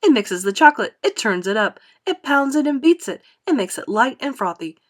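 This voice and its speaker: A woman's voice: on the high side, sing-song, fairly quick, voice pushed up. A woman voicing an exuberant, boastful, eccentric male factory owner in a storybook, with theatrical, gushing emphasis. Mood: proud, emphatic.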